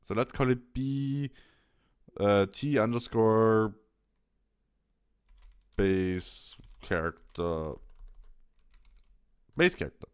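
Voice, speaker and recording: A man presenting a software tutorial step by step, thinking aloud a little hesitantly as he demonstrates. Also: fairly low pitch, very slow, pauses often, clear, resonant tone.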